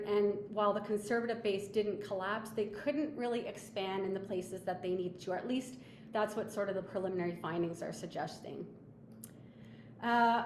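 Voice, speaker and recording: A woman presenting analysis as a webinar speaker, in a measured, explanatory and somewhat hesitant way. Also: mid-range voice. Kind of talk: slide presentation of polling analysis. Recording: echoey room with faint background noise.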